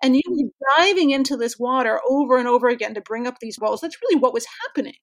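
A woman, a rabbi and dreamwork scholar, calmly and reflectively interpreting a dream in an interview. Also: high-pitched, fast talker, no room sound.

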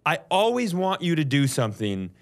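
A man's voice: on the high side, sing-song, moderate pace. A man hosting a comedy podcast, telling a story from notes in a casual, profane way and often chuckling as he goes.

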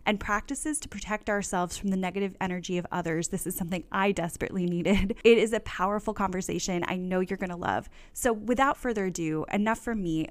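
A woman hosting a wellness podcast, speaking in an enthusiastic, upbeat way. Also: mid-range voice. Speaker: podcast host. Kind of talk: podcast interview host.

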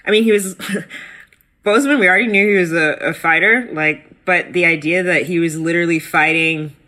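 Bright-voiced animated woman co-host. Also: normal speed. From United States. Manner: animated, pitch jumps when excited, many fillers. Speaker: guest co-host. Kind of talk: casual podcast conversation.

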